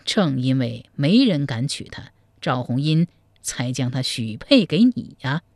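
A man storyteller voicing a sly, scheming male Buddhist monk who counsels in a slow, hushed manner. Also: mid-range voice, sing-song, no echo, voice pushed down. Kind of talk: storytelling, acted dialogue.

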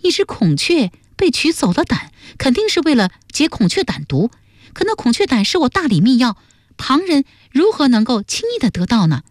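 A young noblewoman from a historical audiobook, as a female narrator plays her. Her voice is raised and animated. She is serious, sharp-tongued and challenging, pressing her point insistently. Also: high voice, normal speed, no echo, clean studio sound, voice pushed up, heavily acted. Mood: suspicious. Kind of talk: audiobook character voice.

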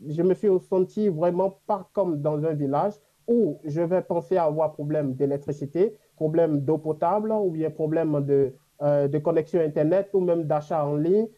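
A man from Africa whose first language is a Gbe language, answering interview questions reflectively with many pauses and fillers. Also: on the high side, dull audio. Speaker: guest.

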